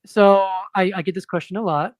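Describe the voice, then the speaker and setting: A man speaking as a guest comic book creator in a casual interview, monotone and hesitant, with many fillers and restarts. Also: high voice, a little fast, no room sound.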